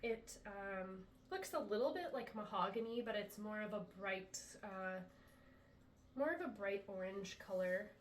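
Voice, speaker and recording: Woman flute maker, stuffy from a cold, relaxed product demo, recorded a bit off-mic in an echoey room. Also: mid-range voice, a little slow, distant mic, a little hiss or hum. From US Pacific Northwest. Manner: chatty, relaxed, many ums. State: congested after a chest cold. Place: indoor room, talking to camera.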